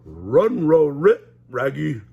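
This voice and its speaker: A man's voice: fairly high pitch, sing-song, takes it slowly, highs cut off, pitched above the performer's own voice, full character voice. An older man voicing a clumsy, cowardly cartoon dog that barks its words and swaps in r-sounds while reading a storybook aloud. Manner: loud gruff barking with r-for-consonant speech.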